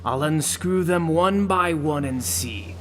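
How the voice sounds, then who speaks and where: An actor playing a terrified, half-mad ship's first mate in a gothic radio play, intense and haunted. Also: male voice, a little high, takes it slowly. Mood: haunted, obsessive. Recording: music playing behind the voice. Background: music.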